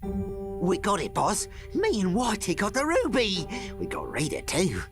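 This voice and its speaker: A man's voice: high-pitched, huge pitch swings, measured pace, music in the background, heavily acted. An actor playing a small, cocky rat henchman who sneers and boasts, in a video game. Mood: sneering.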